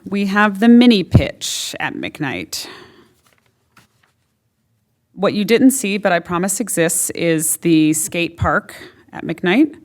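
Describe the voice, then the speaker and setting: A woman's voice: on the low side, animated, slow pace, long pauses. A woman presenting options at a public meeting in a council chamber, chatty and full of "like" and fillers.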